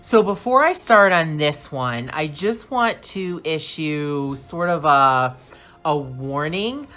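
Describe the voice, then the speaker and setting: A woman hosting a craft channel, chatting casually and rambling a little, slightly hoarse and clearing her throat now and then. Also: deep voice, lively intonation, a bit bright. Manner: chatty, rambling, slow and deliberate. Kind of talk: casual vlog talk.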